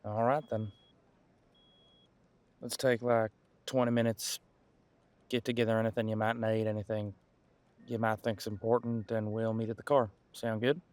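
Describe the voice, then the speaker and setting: Male actor as age-old supernatural detective, lowish voice, dry studio sound, casual folksy delivery, musing pauses, audio drama. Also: flat delivery, darkish tone, no room sound, close to an everyday voice. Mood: brisk, planning. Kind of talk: detective roleplay audio, one side of a conversation.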